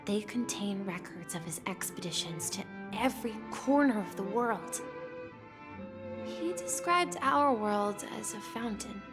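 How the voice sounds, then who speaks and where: A woman playing a wistful, earnest young boy in a video game story, speaking softly and hesitantly. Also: mid-range voice, lively intonation, a little slow, over music, natural voice. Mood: solemn, reminiscing.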